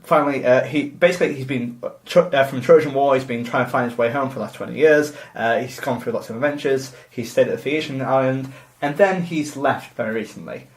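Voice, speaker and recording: A young man with a soft Yorkshire accent, co-hosting a casual podcast and joking and laughing often. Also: mid-range voice, brisk, reverberant. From Yorkshire, England. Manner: giggly, joking, puts on silly voices. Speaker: podcast co-host. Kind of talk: casual comedic chat.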